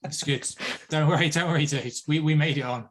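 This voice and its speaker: Slightly high male voice, noisy mic. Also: steady tone, a little fast. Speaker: podcast panelist. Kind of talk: casual banter. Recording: clearly noisy microphone with clicks.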